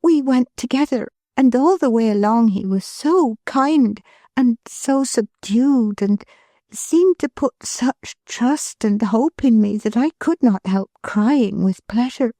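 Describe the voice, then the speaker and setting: A woman voicing a gentle, humble, kind-hearted young woman who speaks in a heartfelt way. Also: fairly high pitch, lively intonation, takes it slowly, no room sound, pitched above the performer's own voice, full character voice. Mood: moved.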